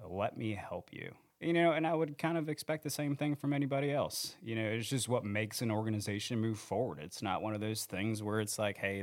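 Guest man, lowish gravelly voice, earnest rambling podcast talk. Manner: rambling, self-correcting, earnest. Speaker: training manager. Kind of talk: casual podcast interview. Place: podcast studio. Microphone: handheld studio mic.

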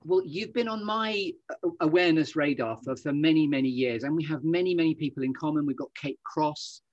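A man's voice: on the high side, fairly quick, no room sound, dull audio. A man hosting a podcast interview in an effusive, chatty way, with wordy, meandering asides. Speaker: podcast host.

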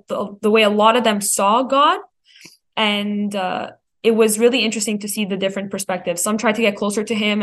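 Articulate teenage girl, medium pitch. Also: a bit bright. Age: teens, high school senior. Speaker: student interview guest. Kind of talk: interview answer, explanatory.

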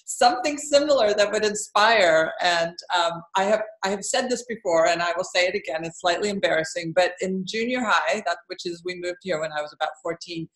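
A middle-aged woman from Finland, an architect answering interview questions in a thoughtful, measured way. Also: lowish voice, fairly bright tone. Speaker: architect and dean, interview guest. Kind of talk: relaxed reflective interview answers. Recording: roomy, echoey sound.

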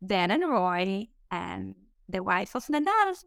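A woman's voice: medium pitch, very animated, gravelly, a bit bright, no echo. A woman from Israel with an Israeli accent, answering interview questions as a startup co-founder, with frequent "um" fillers. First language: Hebrew. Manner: fluent but halting, many "um" fillers.

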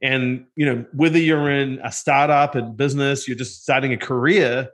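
A middle-aged man from New Zealand with a New Zealand accent, telling his story as a guest in a casual interview, relaxed and self-deprecating. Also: mid-range voice, normal speed. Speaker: guest, tech company co-founder.

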